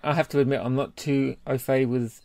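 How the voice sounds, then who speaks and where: Podcast guy, mid pitch, casual but measured n analytical, real dry sound no echo. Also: fairly even intonation, warm tone. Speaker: podcast co-host. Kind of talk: casual chat, beer review.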